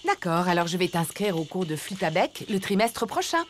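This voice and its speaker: A woman voicing a kindly, playful mother elephant in a cartoon, expressive and encouraging. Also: fairly low pitch, normal speed. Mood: calm, practical. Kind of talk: French cartoon dubbing. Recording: music behind the voice.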